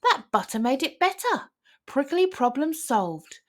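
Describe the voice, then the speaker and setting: Woman voicing an emphatic, high scorpion. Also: big pitch swings, a little slow. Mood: delighted. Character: exclaiming, earnest male scorpion neighbour. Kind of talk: children's storybook character voice.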